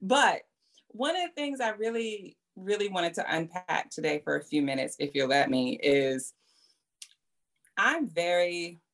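A woman with a slightly low voice co-hosts an interview conversation. She speaks in a reflective, thoughtful way and builds her points at length. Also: lively intonation, unhurried, a bit bright. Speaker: podcast co-host.

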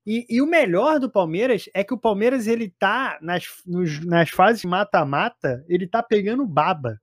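A man's voice: high voice, lively intonation, moderate pace, no room sound, studio quality. A Brazilian man chatting casually about football as a commentator, slangy and emphatic, often repeating his phrases for weight.

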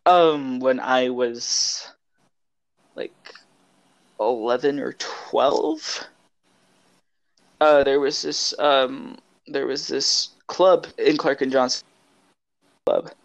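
A man's voice: medium pitch, extremely slow, creaky, long pauses, low-quality recording. A young man co-hosting a podcast, telling personal stories in a hesitant, rambling way. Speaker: podcast co-host. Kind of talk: casual chat, personal storytelling.

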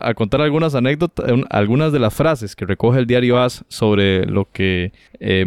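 A man's voice: fairly low pitch, darkish tone, clear, resonant tone, no echo, clean studio sound. A man hosting a conversational talk show, chatting and reading out quotations. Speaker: host.

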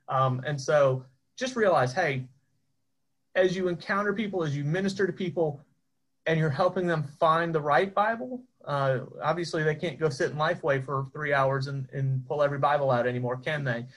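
A man teaching a Bible class in a relaxed, conversational way, with asides to his students. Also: a little high, fairly quick, poor audio. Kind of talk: informal teaching.

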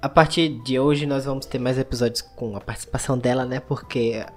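A man's voice: mid-range voice, steady tone, brisk. A man hosting a casual couple podcast while he has a cold, teasing and laughing often. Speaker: podcast host. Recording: laptop mic, faint music.

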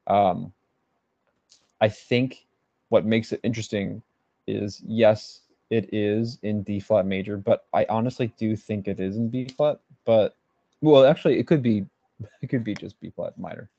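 A man's voice: on the low side, measured pace, creaky, dark tone, no room sound, lo-fi sound. A man co-hosting a podcast, chatting casually about music theory, thinking aloud and hedging as he goes. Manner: thinks aloud, hedges and restarts. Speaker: podcast co-host. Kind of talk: casual music analysis chat.

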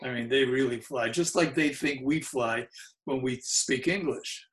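Older man in his 70s, New York accent, Yiddish native, mellow and halting, slow-paced, mid-pitched, for an oral history interviewee. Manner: mellow, unhurried, halting with frequent fillers.

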